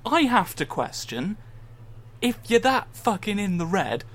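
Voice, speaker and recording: A man's voice: sits high, very animated, measured pace, fairly bright tone. A man co-hosting a casual games podcast, sarcastic and swearing freely. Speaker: podcast co-host.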